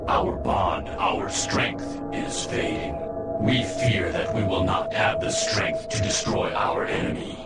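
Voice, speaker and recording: Very deep, echoing warrior of bound souls. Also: male voice. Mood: grave. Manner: slow, solemn, formal, speaks as a collective. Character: bound souls fused into one grim, commanding sorcerous warrior. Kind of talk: video game cutscene acting. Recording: echoing voice under loud music.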